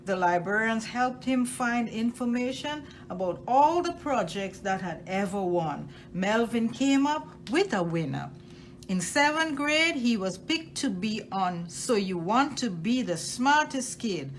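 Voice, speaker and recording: A woman with a fairly high voice reads a children's story aloud in an expressive way. Her pitch swings widely and she takes her time over each phrase, leaning on key words. There is some noise in the recording. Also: measured pace. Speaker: storytime reader at a library. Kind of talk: expressive read-aloud of a children's picture book.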